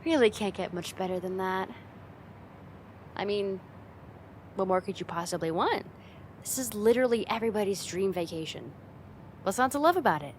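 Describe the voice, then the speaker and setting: A woman's voice: medium pitch, expressive, normal speed, long pauses, slight background noise, lightly acted. A woman playing a friend's teasing older sister in a casual, conversational ASMR roleplay spoken straight to the listener. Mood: coaxing, friendly.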